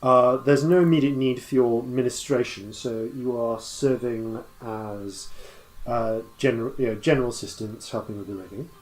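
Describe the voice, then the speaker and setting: A man narrating a tabletop game as game master, improvising in a halting way as he thinks aloud. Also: mid-range voice, a little mellow, low-quality recording.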